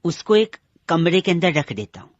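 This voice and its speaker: A man's voice: fairly high pitch, very animated, moderate pace, no echo, dull audio. A man hosting radio prank calls, bantering comically and building teasing riddles toward punchlines. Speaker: radio prank-call host.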